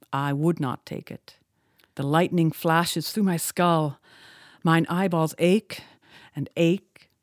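Lady doing a wild old ship captain, booming and grand, dry close sound. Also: no room sound. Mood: defiant. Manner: grand, impassioned declaiming. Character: defiant old male sea captain raging.

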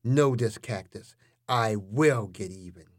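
A man doing a slow, gloating grease vampire. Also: lowish voice, pitched below the performer's own voice. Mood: menacing. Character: grease-drinking male vampire, smug and menacing.

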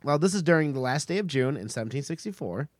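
Podcast host narrating, bit higher voice, very lively, dry mic. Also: male voice, fast, no echo. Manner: jokey, animated storyteller. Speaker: podcast host telling the story. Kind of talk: casual storytelling chat.

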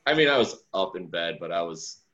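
A man's voice: low voice, expressive, a little fast, fairly bright tone, dull audio. A man in his thirties chatting casually, hedging and poking fun at himself. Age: 30s. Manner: hedging, self-deprecating, easygoing. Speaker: guest. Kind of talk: casual chat.

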